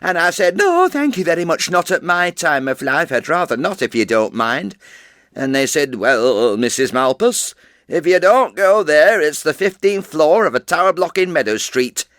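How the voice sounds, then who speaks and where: An actor playing a chatty, fretful elderly widow who rambles and interrupts herself. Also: male voice, a little high, very animated, moderate pace, fairly bright tone, no room sound, clean studio sound. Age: older. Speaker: actor performing a character monologue. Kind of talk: stage character monologue.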